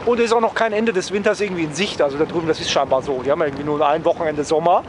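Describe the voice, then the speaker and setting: A man's voice: on the high side, very fast, noisy background. A man chatting casually to viewers as a travel vlogger, rambling and wryly joking, often tagging phrases with a questioning "ne?".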